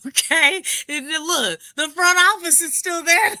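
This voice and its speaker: A woman's voice: very high voice, unhurried, bright, forceful tone, dry recording. A woman with a New Orleans accent co-hosting a casual sports podcast, teasing and laughing often. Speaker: podcast co-host.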